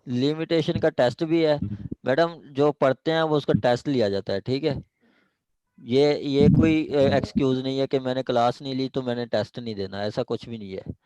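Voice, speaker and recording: A man's voice: mid-range voice, darkish tone, clean tone, no room sound, rough recording. A man with a Pakistani accent lecturing law students, speaking emphatically and repeating himself to drive points home. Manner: emphatic, repetitive, often checking understanding. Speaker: law lecturer preparing students for judicial exams. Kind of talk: online class discussion and exhortation.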